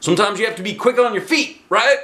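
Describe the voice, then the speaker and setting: A manic male gym instructor who thinks he is an action hero, played by a man reading a storybook. He barks orders excitedly in a raised, forceful voice, stretching his words in an echoey room. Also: high-pitched, pitched above the performer's own voice. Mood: commanding. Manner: loud, drawn-out, excitable commanding delivery. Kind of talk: children's storybook character voice.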